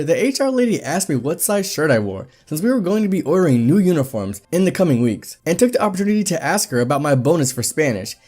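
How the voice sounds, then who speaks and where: A man reading stories aloud and chatting between them in a lively, animated way. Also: fairly high pitch. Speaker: Reddit story narrator.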